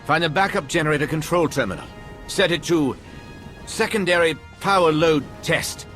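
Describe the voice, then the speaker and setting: An actor playing a brisk, wry, commanding mission controller giving instructions in a video game. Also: male voice, a little high, close to an everyday voice. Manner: directive, dryly wry. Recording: very loud music behind. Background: game music.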